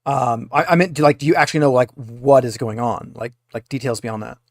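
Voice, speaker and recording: A man's voice: medium pitch, fairly even intonation, dry recording, close to an everyday voice. Flustered female android for a tabletop game, played by a man: hesitant, repetitive, pleading, dry recording. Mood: anxious, confused. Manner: hesitant, halting, repeats words.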